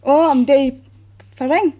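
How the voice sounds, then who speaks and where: High-voiced brisk woman clerk. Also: fairly even intonation, dark tone. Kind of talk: comedy series acting.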